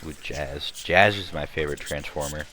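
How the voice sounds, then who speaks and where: A young man with a slightly raspy voice, chatting casually and rambling while commenting on his gameplay. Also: extremely low pitch, normal speed, pushed and bright. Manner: rambling, chatty, many restarts and fillers. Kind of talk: casual let's-play chat.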